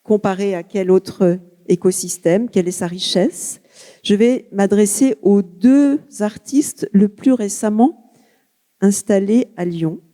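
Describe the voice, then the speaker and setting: A woman moderating an art round table, asking measured questions and addressing the panelists by first name. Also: medium pitch, dark tone. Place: museum auditorium. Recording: long reverb of a hall.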